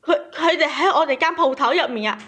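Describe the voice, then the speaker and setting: Female caller, Cantonese accent, very high voice, emotional first-person storytelling with emphatic repetition. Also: fast, fairly bright tone. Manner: agitated, emphatic, repeats phrases. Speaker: caller telling her own story.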